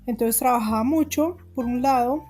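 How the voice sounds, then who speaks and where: Brief Colombian woman from Bogotá, forties, a bit high and mellow, chatty interview guest, soft guitar behind. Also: moderate pace. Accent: Bogotá Colombian Spanish. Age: 40s. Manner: chatty, laughs often, many fillers. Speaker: guest writer. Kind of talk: casual interview conversation.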